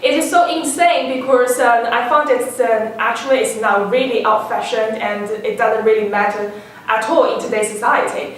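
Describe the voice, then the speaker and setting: A woman hosting a casual panel discussion, chatty and quick to laugh. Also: on the high side. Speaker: host. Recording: echoey room from far off.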